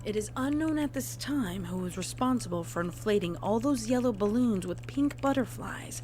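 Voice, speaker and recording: A woman's voice: medium pitch, lively intonation, moderate pace, a little mellow. A woman reading a scripted horror story as a narrator, in the form of a report. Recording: clear background noise.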